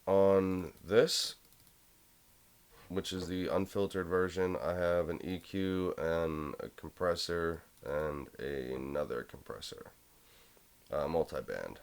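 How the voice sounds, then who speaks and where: Low-voiced young man, relaxed tutorial. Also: slow, clear, resonant tone. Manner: relaxed, rambling, with fillers. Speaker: music production tutor. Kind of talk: casual screen-recorded tutorial.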